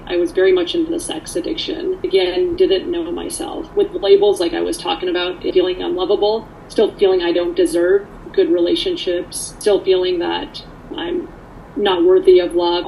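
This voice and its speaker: Over light background noise, a woman speaks in a lowish voice with plenty of creak. She shares her personal story conversationally, candid and earnest throughout. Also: flat delivery, normal speed, warm tone, rough recording. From Canada, born in Poland.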